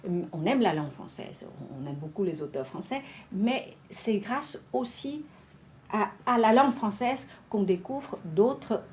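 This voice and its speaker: A woman's voice: mid-range voice, a little slow, slight background noise. A woman from Vietnam, a literary translator talking animatedly in an interview, restarting her phrases often. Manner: animated, many restarts and fillers. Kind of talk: conversational interview discussion.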